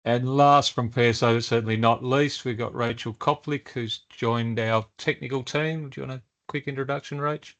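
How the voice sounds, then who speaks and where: A man running a workshop meeting, relaxed and loose with his fillers. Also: medium pitch, moderate pace, few pauses, muffled recording. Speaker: meeting facilitator. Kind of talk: workshop introductions. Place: meeting room.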